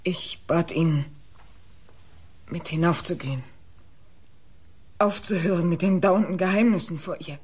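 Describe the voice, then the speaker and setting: An actress playing a bitter, defiant jilted young woman suspect in a German radio crime drama, her voice husky. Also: lowish voice, measured pace, long pauses, darkish tone. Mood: anguished confession.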